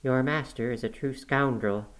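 A man's voice: mid-range voice, normal speed, natural voice. A man reading the part of an indignant elderly woman servant in an audiobook, emphatic and reproachful. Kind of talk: audiobook character acting.

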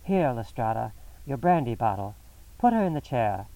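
A cool, incisive detective speaks in a crisp, measured way, read by a male narrator close to his own voice. His pitch sits at an ordinary male middle. The voice sounds a little set back from the microphone. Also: sing-song, warm tone, distant mic, poor audio.

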